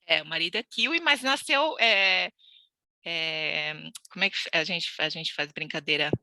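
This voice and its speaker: Brazilian woman guest chatting away, super bright voice, dry close sound. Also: on the low side, normal speed, no room sound. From Brazil (Santos). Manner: chatty, laughing storyteller with many restarts. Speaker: podcast guest, immigrant. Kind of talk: casual interview chat.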